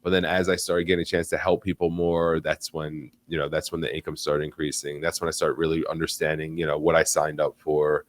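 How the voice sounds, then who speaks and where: Deep-voiced man hosting a live chat. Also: brisk, hardly any pauses, no echo. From United States. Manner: animated, rambling, frequent fillers and restarts. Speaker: livestream host. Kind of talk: casual live interview.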